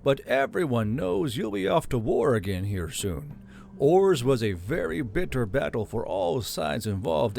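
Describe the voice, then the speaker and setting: A man's voice: mid-range voice, creaky, slight background noise. A man voicing a smug, gracious male city lord who talks in a nonchalant, gloating way, in an audiobook reading.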